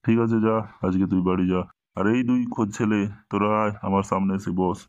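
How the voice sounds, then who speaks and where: A man's voice: low voice, fairly even intonation, fast, darkish tone, dry recording, natural voice. A man voicing a domineering, scolding village tantric holy man in a Bengali comedy cartoon, with a preachy manner. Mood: preachy, commanding. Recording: dull lo-fi, very dry cartoon dub.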